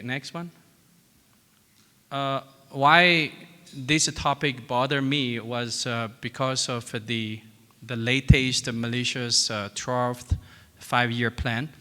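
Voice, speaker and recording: A man from China, presenting research at a conference in a halting way with many fillers. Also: medium pitch, bright, forceful tone. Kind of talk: academic presentation. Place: large conference hall. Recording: long conference hall reverb.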